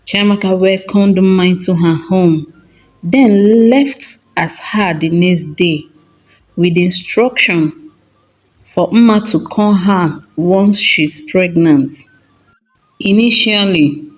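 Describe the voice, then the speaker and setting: A woman tells a folktale as a scripted story. She takes her time, speaking slowly in short, measured phrases with a dramatic storyteller's delivery. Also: mid-range voice, poor audio.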